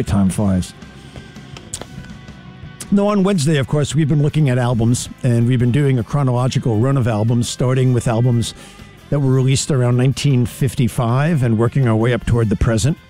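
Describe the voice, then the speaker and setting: A man's voice: medium pitch, mellow. A middle-aged man hosting a radio show, talking casually in a studio, often restarting and correcting himself. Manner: rambling, self-correcting. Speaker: radio host. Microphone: studio mic.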